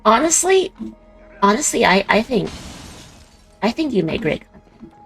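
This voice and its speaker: A giggly woman streamer talks loosely over her game, her voice raspy and full of gravelly fry. She stumbles over her words and laughs easily, with soft game music playing faintly behind her. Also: fairly high pitch, very animated, measured pace. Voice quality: raspy morning voice. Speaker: VTuber streamer. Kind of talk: casual stream chat while gaming.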